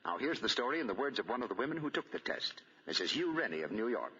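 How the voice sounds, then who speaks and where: A man's voice: mid-range voice, big pitch swings, quick pace, pushed and bright. A man announcing on 1940s radio, with polished, emphatic commercial salesmanship. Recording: muffled 1940 radio broadcast.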